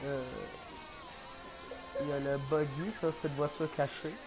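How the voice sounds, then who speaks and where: A man's voice: on the high side, flat delivery, measured pace, music in the background, low-quality recording. A man with a Québécois accent, sick with a cold and coughing, giving hesitant, casual commentary over a video game. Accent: Québécois French. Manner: hesitant, many fillers and restarts. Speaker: gaming YouTuber.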